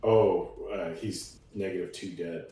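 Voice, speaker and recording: A man's voice: deep voice, fairly even intonation, unhurried, mellow, faint music behind. A man talking casually as a player in a tabletop roleplaying game. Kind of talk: casual chat. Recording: very echoey, far from the mic.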